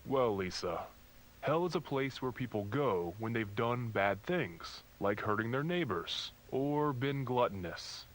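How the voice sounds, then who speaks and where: A man voices a puppet priest from a mock religious children's show. He is gentle, fatherly and preachy, explaining things down to children in a very animated, mid-pitched voice with a faintly sinister undertone. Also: lightly acted, rough recording. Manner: patronizing, instructive.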